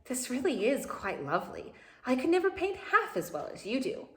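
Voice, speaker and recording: A woman voicing a kindly, proper royal governess in a children's storybook, measured and reassuring. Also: high voice, wildly swooping pitch, a bit bright, voice pushed up, exaggerated voice. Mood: comforting.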